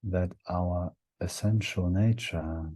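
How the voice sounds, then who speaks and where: A man leading a guided meditation in a gentle, hushed manner, leaving long pauses between short phrases. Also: sits low, breathy edge, dry recording.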